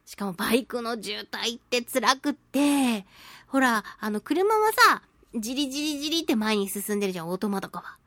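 A woman's voice: on the high side, moderate pace, dry recording. A woman hosting a chatty solo radio show, reading and responding to listener mail in a playful, exclamatory way. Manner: playful, exclamatory, giggly, expressive interjections. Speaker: radio show host, voice actress.

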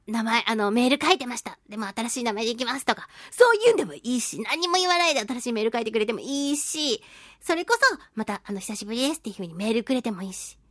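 A woman with a high voice hosts a solo radio talk show in a close, dry sound. She swings widely in pitch as she reads out mail and reacts to it. Her manner is chatty, giggly and playfully over-the-top. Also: fairly bright tone, no room sound. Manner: playful, gushing, with exaggerated pleading.

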